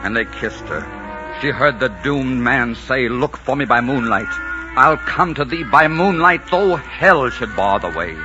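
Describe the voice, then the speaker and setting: A man's voice: medium pitch, animated, unhurried, lightly acted. Guy doing dramatic poem narration for an old radio show, incantatory, muffled, music playing behind. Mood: solemn suspense. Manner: theatrical, incantatory. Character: solemn, romantic storyteller reciting a ballad. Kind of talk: dramatic verse recitation for 1940s radio. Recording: muffled 1940s radio recording with loud music.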